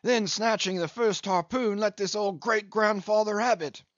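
A man voicing a cheerful one-armed English sea captain who spins a lively yarn. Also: high voice, moderate pace, dry recording, muffled recording, pitched above the performer's own voice. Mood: hearty. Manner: hearty, lively yarn-spinning.

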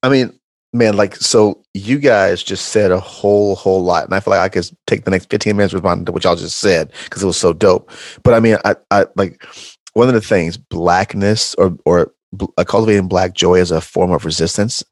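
A deep-voiced man on a podcast, loose and enthusiastic, with plenty of slang and shout-outs to the listeners. The sound is dry and close. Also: fairly quick, no room sound. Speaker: podcast co-host. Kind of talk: casual podcast conversation.

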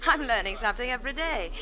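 A woman's voice: a little high, animated, a little fast, bright, forceful tone, poor audio. A woman playing a polite, curious, slightly hesitant lady tourist in 1940s radio acting style. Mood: friendly, apologetic. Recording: vintage radio drama recording.

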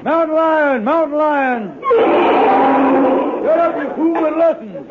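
An actor playing a scheming, blustering landlord with folksy, ungrammatical speech in an old radio comedy. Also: male voice, very high-pitched, slow pace, full character voice. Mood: panicked. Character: scheming, wheedling male con-man landlord in a radio comedy. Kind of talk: 1950s radio comedy acting. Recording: tinny, noisy old radio, studio audience.

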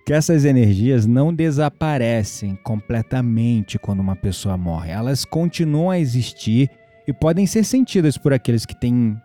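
A male actor as a patient spiritual believer with a Brazilian accent in an audio drama. His voice is mellow and mid-pitched, explanatory and gently teasing, over faint music. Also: expressive, moderate pace, close to an everyday voice. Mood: earnest, persuasive. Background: music behind the voice.

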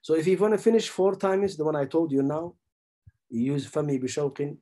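A man's voice: a little high, brisk, mellow. A middle-aged man from Somalia, teaching a religious lesson in English. He explains step by step, repeats key terms and puts questions to his listeners. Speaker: Islamic teacher.

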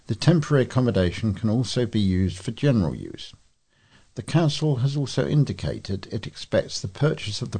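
A man's voice: lowish voice, a little mellow, husky. A man reading local news aloud from a script as a volunteer newsreader.

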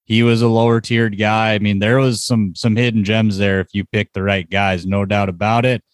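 A man's voice: on the low side, flat delivery, normal speed, smooth, no echo. A man hosting a casual sports podcast, chatting informally about results and what is coming up. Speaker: podcast host.

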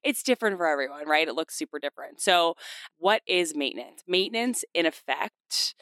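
A woman hosting a podcast in casual chat, a bit nasal from a cold, talkative and quick to laugh. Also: low voice, lively intonation, a bit bright, no echo. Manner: chatty, laughs often, fast bursts. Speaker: podcast host.

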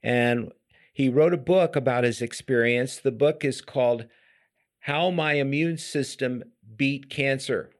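A man in a middle-range voice who hosts a health podcast interview as a doctor. He talks slowly and hesitantly, with many fillers and restarts. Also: medium pitch. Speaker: podcast host, physician. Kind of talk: interview hosting.

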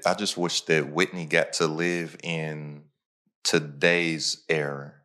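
Low-pitched male podcast host, casual and motivational. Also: flat delivery, slow, pushed and bright. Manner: motivational, talks in repeated punchy phrases. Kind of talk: casual conversational podcast talk.

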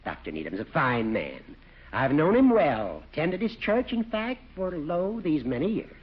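A man's voice: fairly high pitch, sing-song. Man: elderly judge, fussy and long-winded, voice swooping widely, vintage radio comedy, dull recording. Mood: rambling. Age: older. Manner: long-winded, halting, digressive. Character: rambling, genial, fussy old judge. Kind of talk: 1940s radio comedy acting.

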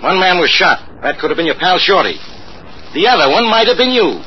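An actor playing a suspicious, tough American police detective, gruff and sarcastic, in an old-time radio drama. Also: male voice, high voice, sing-song, fairly quick. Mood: needling. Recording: muffled, noisy vintage radio recording.

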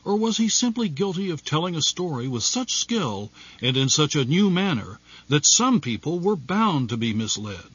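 A man's voice: a little high, sing-song, moderate pace, hardly any pauses, fairly bright tone, husky, dry recording, dull audio. A man reading scripted documentary narration.

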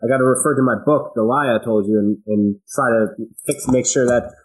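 Medium-pitched man, emphatic casual podcast guest. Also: a little fast, low-quality recording. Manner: emphatic, slangy, asks rhetorical questions. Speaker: podcast guest, business owner. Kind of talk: casual chat, telling anecdotes.

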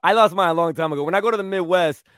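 A man in his thirties from New York City hosts a casual podcast conversation, telling animated stories and swearing freely. His voice sits high for a man, and he talks at a rapid clip. The sound is close and dry, with no room around it. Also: dry recording. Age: 30s. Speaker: podcast host.

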